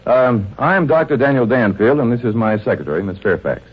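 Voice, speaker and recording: An actor playing a courteous, practical doctor-detective in an easygoing vintage radio mystery style. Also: male voice, medium pitch, lively intonation, fairly quick, lightly acted. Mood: polite. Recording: dull, noisy old radio recording.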